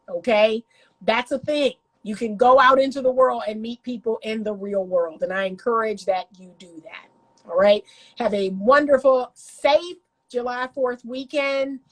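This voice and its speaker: A woman's voice: a little high, low-quality recording. Congested woman coaching on relationships. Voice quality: congested from a cold. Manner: emphatic, repeats points for emphasis. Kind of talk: casual vlog commentary.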